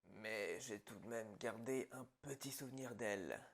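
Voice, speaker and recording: Man playing a slow, gravelly, menacing psychopath. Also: fairly low pitch. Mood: darkly casual. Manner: unhurried, hushed, dropping into whispers, darkly casual. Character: calm, menacing male psychopathic killer, falsely reassuring his captive. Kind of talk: ASMR roleplay monologue.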